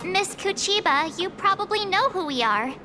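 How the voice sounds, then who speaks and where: A woman's voice: very high voice, animated, normal speed, music in the background. A woman playing a perky, girlish young adventurer in a video game, chatting informally. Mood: casual, puzzled.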